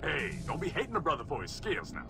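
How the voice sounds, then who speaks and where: Brash soldier hacker, excitable, streetwise, high swooping voice, action game, loud music behind. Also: male voice, quick pace, vocal fry. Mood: brash, joking. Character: cocky, loyal soldier hacker, male, wisecracking. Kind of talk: action parody video game acting.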